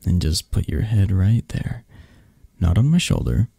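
Slow, husky, mellow man acting a tender mafia boss. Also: deep voice, big pitch swings, no room sound, clean studio sound, heavily acted. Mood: flirty, coaxing. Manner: hesitant, murmuring, coaxing, with soft chuckles. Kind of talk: ASMR romantic roleplay, intimate and soft-spoken.

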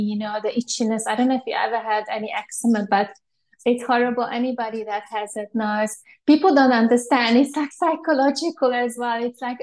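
A woman in her forties, from Hungary, talks as a guest on a video call. Her voice sits a little high, and her speech is chatty and loose, full of fillers and restarts. She is recorded at home through an earphone mic. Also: darkish tone. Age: 40s. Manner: chatty, many fillers and restarts, laughs often. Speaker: interview guest. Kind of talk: casual video-call interview. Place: bright home room with shelves.

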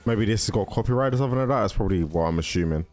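A man's voice: on the low side, expressive, very fast, a little mellow, faint music behind. A man in his twenties giving casual, rambling reaction commentary in slang, close on a desk microphone. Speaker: reaction video host. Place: small carpeted room indoors. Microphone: close desk microphone, headset on.

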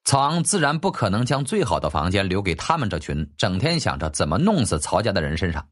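一位男演播者用戏剧化又略带调侃的口吻讲述历史小说有声书。. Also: male voice, low voice, sing-song, fairly quick, fairly bright tone. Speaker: audiobook narrator. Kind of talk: dramatic storytelling narration of a historical novel. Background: faint background music.

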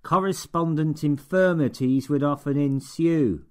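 Man voicing a contemptuous barber boss, sarcastic, bossy, raised pitch. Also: unhurried, natural voice. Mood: mocking. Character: sour, contemptuous older male barber-shop boss.

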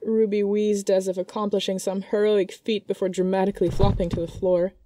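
A woman's voice: medium pitch, little pitch movement, brisk, warm tone, no room sound. A woman reading scripted narration of a fan fiction story as its narrator.

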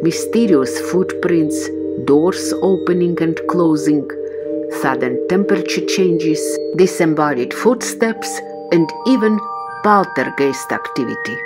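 A woman reading a scripted tour narration in a smooth, even voice-over, with loud music behind her. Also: deep voice, measured pace.

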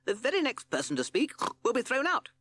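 A blustering pig judge, voiced by a male storyteller, high and loud, who snorts and barks out orders impatiently. His pitch leaps about wildly. The recording is dry and close. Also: wildly swooping pitch, normal speed.

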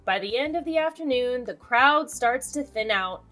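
High, animated woman reading a storybook. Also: faint music behind. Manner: expressive, deliberate storytelling delivery. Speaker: children's read-aloud host. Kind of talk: storybook read-aloud with asides to young viewers.

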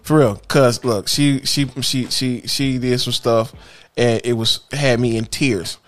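A man co-hosting a podcast from a home studio, joking loudly and laughing often in crude casual banter. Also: medium pitch, fairly even intonation, unhurried. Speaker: podcast co-host. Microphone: studio mic on desk stand, headphones.

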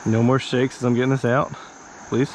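A man's voice: mid-range voice, level tone, moderate pace, darkish tone, low-quality recording. An American man in his thirties chatting casually and folksily to viewers as a fishing vlogger, outdoors on an action camera. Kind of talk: casual vlog chat while fishing. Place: outdoors by a small wooded creek. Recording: outdoor noise with insects and ambience.

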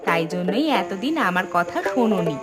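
A woman's voice: on the low side, expressive, normal speed, close to an everyday voice. A woman voicing a shrewd, bossy village wife in a cartoon, with a managing manner. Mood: assured. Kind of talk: cartoon voice acting. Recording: loud plucked-string music over voice.

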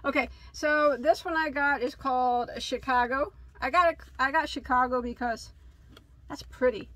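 Enthusiastic crochet host, a woman in her thirties from Illinois, fairly high voice, chatty and self-mocking. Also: moderate pace, sharp tone, no room sound, low-quality recording. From Illinois, USA. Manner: chatty, enthusiastic, laughs at herself. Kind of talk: casual yarn haul chat. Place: craft room with yarn shelves, indoors.

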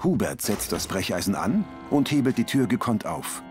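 Casting: male audio-description narrator, lowish voice, terse scripted delivery, music underneath. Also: very animated, normal speed.

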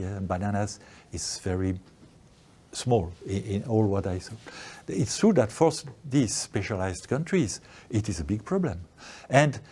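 Slow, halting older male lecturer. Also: on the low side, a little mellow. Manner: slow, halting, with many restarts. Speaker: lecturer answering questions. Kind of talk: academic Q&A answer. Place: lecture room, indoors. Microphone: lapel mic.

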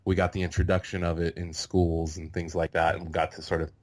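A man in his thirties from California hosting a casual podcast interview over a video call, talking in a relaxed, rambling way with many fillers. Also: low voice, brisk. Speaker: podcast host.

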